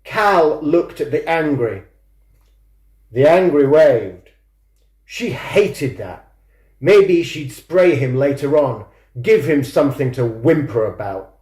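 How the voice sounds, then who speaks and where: Slow, animated male storyteller in roomy sound. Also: on the high side. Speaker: author introducing and reading own book. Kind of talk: scripted introduction and literary story reading.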